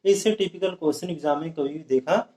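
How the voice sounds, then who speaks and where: A man's voice: a little high, fast talker, warm tone. A man teaching an exam-prep math lesson, repeating each step and checking whether students follow. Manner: repeats steps and keeps asking students if it is clear. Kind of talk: whiteboard lesson explaining age problems. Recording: echoey room.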